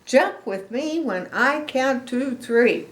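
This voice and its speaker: A woman's voice: high-pitched, lively intonation, takes it slowly, voice pushed up. A woman voicing an eager, bossy young girl pig who coaches in short, emphatic phrases for a children's picture book. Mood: bossy, excited. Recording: echoey room.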